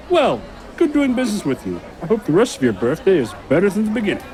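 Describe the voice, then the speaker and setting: An actor playing a friendly, chatty orange seller with a warm, teasing manner in a fantasy audio drama, a street crowd faintly behind. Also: mid-range voice, huge pitch swings, a little fast. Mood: cheerful.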